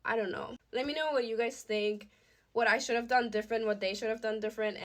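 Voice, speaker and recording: A woman's voice: fairly high pitch, fairly even intonation, quick pace. A woman content creator telling a casual personal story to camera, acting out what others said as she goes. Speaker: social media creator.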